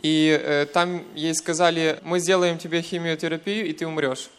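A young man from western Ukraine with a Ukrainian accent giving a personal testimony in church, in short hesitant segments with pauses. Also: fairly high pitch, flat delivery, clear, resonant tone. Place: church, speaking to a congregation.

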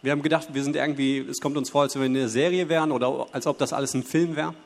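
A man's voice: medium pitch, steady tone, very fast, gravelly. A man interpreting aloud in a church, delivering the message phrase by phrase and sometimes correcting himself. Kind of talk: consecutive interpreting into German. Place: church hall before a congregation. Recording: long hall reverb.

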